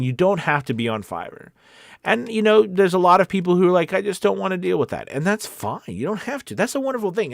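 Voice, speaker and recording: A man's voice: medium pitch, no room sound, studio quality. A man hosting a casual livestream Q&A as a voice-over coach, talking in a conversational, rambling and encouraging way.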